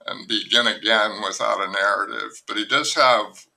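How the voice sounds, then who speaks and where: An older man, a novelist being interviewed, talking in a slow, musing, self-deprecating way with many pauses and restarts. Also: lowish voice, steady tone, creaky, sharp tone, rough recording. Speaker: novelist guest. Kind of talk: reflective conversational interview.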